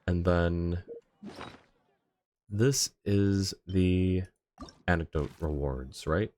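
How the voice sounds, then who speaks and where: A man's voice: low voice, very slow, darkish tone. A man livestreaming a game, chatting casually and thinking aloud in an easygoing way.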